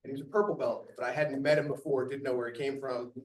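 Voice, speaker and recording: A man's voice: mid-range voice, fairly even intonation, fast, a little hiss or hum. A man telling a story about a training partner in a chatty way, speaking to his gym. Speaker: gym member. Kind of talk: casual speech to the gym. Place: martial arts gym. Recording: echoey gym from far off.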